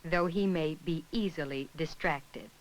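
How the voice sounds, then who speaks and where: Female narrator, lowish register, for an educational documentary. Scripted, measured, instructional delivery. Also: poor audio.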